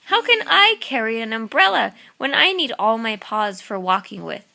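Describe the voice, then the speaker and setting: A greedy storybook tiger brags in a slow, drawn-out, self-satisfied voice, as a woman puts him on while reading aloud. The tone is gravelly and a little lower than her own. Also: sing-song, bright, forceful tone, full character voice. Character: greedy, boastful male jungle tiger.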